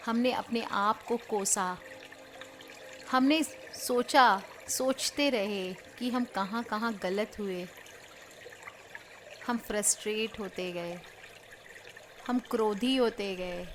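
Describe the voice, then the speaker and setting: An Indian woman leading a spiritual healing guided meditation in measured short phrases with pauses between them. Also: mid-range voice, fairly bright tone. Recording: clear background noise of trickling water.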